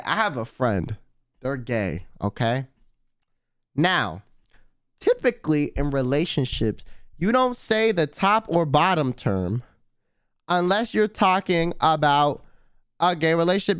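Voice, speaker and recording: A man's voice: a little high, lively intonation, unhurried, no room sound, studio quality. A young man streaming a casual late-night chat, rambling in slang and reading donations aloud. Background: faint background music.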